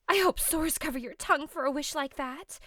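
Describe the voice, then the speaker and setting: A woman's voice: sits high, very animated, unhurried, a bit bright, dry recording, studio quality, full character voice. A woman playing a passionate, desperate young newlywed noblewoman in an audio drama, declaiming theatrically. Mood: indignant, remorseful. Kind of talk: audio drama acting of modernized Shakespeare.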